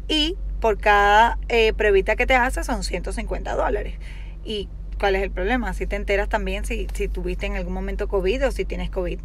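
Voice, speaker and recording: A woman's voice: medium pitch, slight background noise. A young woman chatting casually to her phone camera from inside a parked car, hesitant and full of fillers as she explains something. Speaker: vlogger. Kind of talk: casual selfie-video chat. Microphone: phone held at arm's length.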